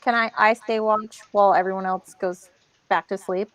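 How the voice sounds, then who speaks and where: A woman's voice: medium pitch, steady tone, moderate pace, fairly bright tone, muffled recording. A woman playing an online tabletop game, talking casually as she plays.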